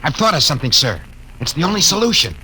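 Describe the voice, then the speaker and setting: An actor playing an earnest young male crew officer in a 1950s radio drama, quick to speculate aloud. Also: fairly high pitch, big pitch swings, pushed and bright, a little hiss or hum, full character voice, poor audio.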